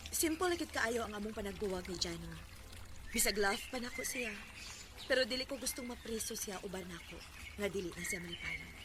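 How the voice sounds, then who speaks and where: A woman's voice: mid-range voice, lively intonation, a little fast, natural voice. A woman with a Cebuano Filipino accent acting a hurt, neglected young girlfriend in a radio drama. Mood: sad, resigned. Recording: noisy radio drama recording.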